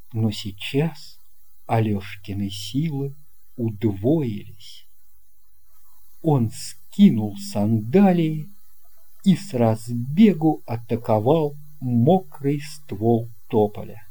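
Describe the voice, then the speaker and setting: A man narrating a children's tale in an unhurried, expressive storytelling manner. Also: on the high side. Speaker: audiobook narrator.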